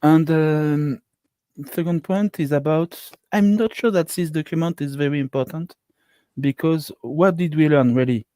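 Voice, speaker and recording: A man discussing analytically as a panelist, in halting English with non-native phrasing. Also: on the high side, mellow, smooth, no room sound. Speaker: panel participant in live open mic.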